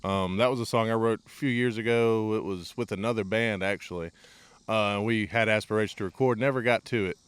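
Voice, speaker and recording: A man's voice: lowish voice, brisk, a bit bright, clear, resonant tone, no echo. A man, a guitarist and songwriter in a band, telling stories about recording in an easygoing, plainspoken way. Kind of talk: casual chat.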